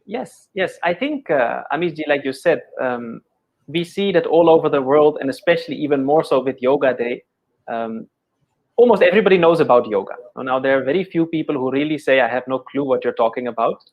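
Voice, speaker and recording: A middle-aged Dutch-born man who speaks English with an Indian accent, explaining yoga as an interview guest in a measured, gentle way with many fillers and repeated words. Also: medium pitch, dull audio. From Netherlands. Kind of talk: thoughtful conversational explanation.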